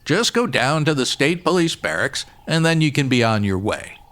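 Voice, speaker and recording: An actor playing a polite, folksy state trooper in an old-time radio drama, matter-of-fact and courteous. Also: male voice, fairly high pitch, sing-song, moderate pace, fairly bright tone.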